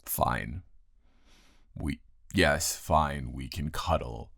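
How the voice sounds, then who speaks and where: A man playing a tired, grumpy but secretly soft roommate in an improvised intimate audio roleplay, talking straight to the listener in a halting, teasing drawl. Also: extremely low pitch, expressive, creaky, no echo, clean studio sound, full character voice. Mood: grumpy, giving in.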